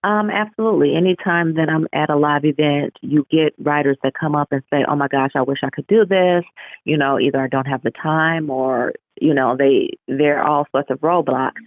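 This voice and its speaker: Over a narrow, phone-like connection, a woman author chats casually in an interview. Her voice sits at a low-to-middle pitch for a woman, with little room sound. She talks in an animated way, slipping into little re-enactments of what people say. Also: normal speed, no room sound. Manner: animated, acts out remembered remarks. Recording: narrow phone-like line, radio-show sound.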